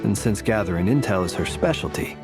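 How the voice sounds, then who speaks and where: An actor playing a smooth, observant, teasing man with a dry wit in a video game. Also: lowish voice, animated, a little fast, over music. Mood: teasing, reasoned.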